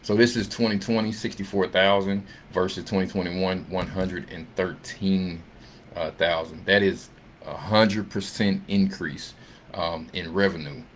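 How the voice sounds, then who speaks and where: Male presenter, deep voice, off-the-cuff earnings rundown, conversational and halting, audible background noise. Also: steady tone. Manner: conversational, halting, seeks agreement with tag questions. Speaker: presenter of an investment earnings summary. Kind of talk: informal unscripted financial commentary over slides.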